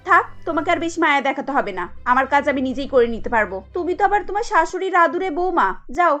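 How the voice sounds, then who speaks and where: A woman voicing a jealous, sharp-tongued young wife in a cartoon, scolding with sarcasm. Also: high-pitched, animated, quick pace, soft background music. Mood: sarcastic, angry. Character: jealous, sharp-tongued elder daughter-in-law. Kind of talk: cartoon dialogue.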